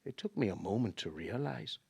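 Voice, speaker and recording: An actor playing a haunted former cab driver telling a grim memory in a radio play, halting and emotive. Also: male voice, lowish voice, lively intonation, creaky, warm tone, slightly rough, exaggerated voice. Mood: anguished. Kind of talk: dramatic radio play monologue.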